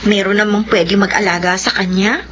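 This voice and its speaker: A woman's voice: medium pitch, little pitch movement, normal speed, background noise, lightly acted. A woman playing a determined, ambitious mother in her thirties in a Filipino radio drama. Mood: insistent. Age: 30s. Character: determined young mother Merly, ambitious and persuasive. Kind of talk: Filipino radio drama acting and first-person narration. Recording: dull radio broadcast with music.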